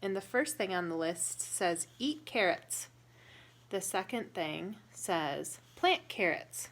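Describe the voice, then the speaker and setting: A woman reading a picture book aloud to young children, pausing to explain and ask questions in a deliberate, instructive way. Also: on the low side, expressive, fairly bright tone.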